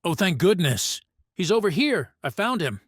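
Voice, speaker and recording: An actor playing a stern but caring police officer in a horror audio drama. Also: male voice, sits high, very animated, moderate pace, husky, no echo, studio quality, full character voice. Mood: searching, relieved.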